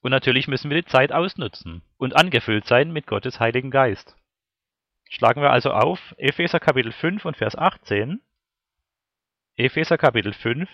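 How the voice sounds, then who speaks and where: A male preacher with a mid-pitched voice delivers a sermon in a formal, measured manner. His intonation swings widely as he moves between reading and explaining. The sound is dry, with very little room around the voice. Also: fairly bright tone, dry recording. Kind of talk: sermon with scripture and verse readings.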